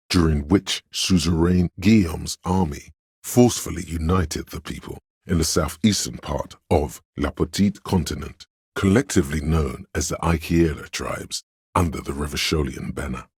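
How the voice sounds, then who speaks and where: A man voicing a learned, pedantic inner voice for a video game, narrating trivia in an erudite, measured, matter-of-fact way with dry asides. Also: dry recording, exaggerated voice. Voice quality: very deep, husky.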